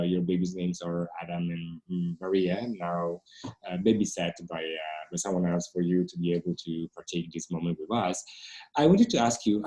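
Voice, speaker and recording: A man moderating an artist talk, asking long, halting, roundabout questions in a conversational way. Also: sits low, a little mellow, low-quality recording. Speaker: interviewer and moderator.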